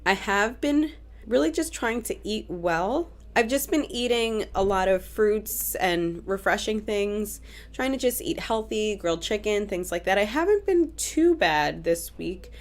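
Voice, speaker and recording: A woman's voice: mid-range voice, animated, measured pace. A young woman with a stuffy nose from a cold, chatting casually in a vlog update with frequent fillers and restarts. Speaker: vlogger.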